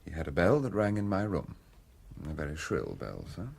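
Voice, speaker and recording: An actor playing a formal, deferential valet and nurse attendant in a radio play, precise and guarded in manner. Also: male voice, deep voice, sing-song, normal speed, creaky, a little mellow, slightly rough, full character voice. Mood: formal, measured. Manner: deferential, precise, prim, euphemistic.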